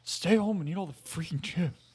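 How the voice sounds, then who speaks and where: A man playing a sullen, whiny teenage boy in a comedy script read, raspy and petulant. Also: sits high, normal speed, darkish tone. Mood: sulky. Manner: petulant, put-upon.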